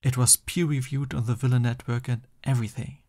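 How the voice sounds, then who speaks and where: A man playing a soft-voiced, lovestruck villain boyfriend in an intimate ASMR roleplay, bashful and tender as he talks. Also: mid-range voice, steady tone, brisk, lightly acted. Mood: playful, affectionate. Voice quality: soft, breathy. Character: lovestruck, flustered supervillain boyfriend, soft and doting.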